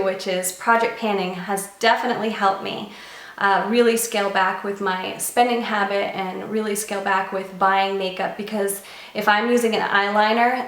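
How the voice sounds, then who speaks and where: A woman's voice: mid-range voice, roomy sound. Chatty woman, nasal from a cold. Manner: chatty and earnest, with light laughs. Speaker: beauty vlogger. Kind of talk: casual chat to camera.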